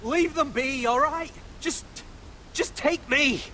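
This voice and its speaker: An actor playing a scrappy young wildling scout in a dramatic fantasy video game. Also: male voice, extremely high pitch, takes it slowly, pushed and bright, a little hiss or hum, heavily acted. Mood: pleading. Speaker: game character.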